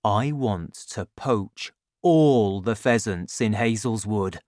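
A man voicing a lower-voiced, scheming father. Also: lively intonation, takes it slowly, dry recording, clean studio sound. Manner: lowered, softer voice, confiding. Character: warm, scheming father, quietly resentful. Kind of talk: audiobook character voice.

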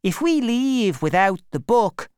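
A woman's voice: mid-range voice, very animated, slow pace, a little mellow, smooth, no echo, pitched above the performer's own voice. A woman voicing a confident, cunning boy in a storybook, speaking in an assured, commanding way. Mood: coolly threatening.

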